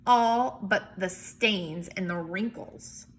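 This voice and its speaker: A woman reading a storybook aloud in an expressive, emphatic way, with chatty asides as if to young children. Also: medium pitch, takes it slowly, a little hiss or hum. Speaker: teacher or reader reading a picture book aloud.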